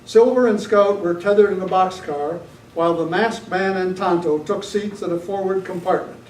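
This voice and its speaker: High-voiced male old-time radio announcer. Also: fairly even intonation, moderate pace. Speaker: narrator and announcer. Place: club meeting room, live performance. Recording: echoey noisy meeting room, distant mic.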